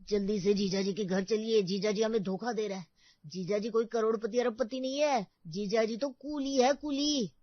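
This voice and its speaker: Woman plays young brother in a cartoon tale, earnest, indignant, mid-pitched, dry dulled sound. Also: normal speed, no echo, muffled recording, close to an everyday voice. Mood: indignant, urgent.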